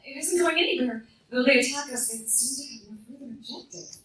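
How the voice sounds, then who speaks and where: A woman's voice: sits high, fast, a bit bright. A woman playing a defiant, principled young gargoyle daughter in a live radio play, earnest and challenging. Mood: urgent. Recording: roomy fan recording, distant from mic.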